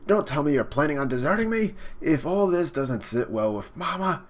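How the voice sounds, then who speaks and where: A man's voice: fairly high pitch, expressive, normal speed, voice pushed up, lightly acted. A man voicing a clingy, coquettish and menacing female hammerhead mermaid from a manga, in a dramatic manner. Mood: accusing.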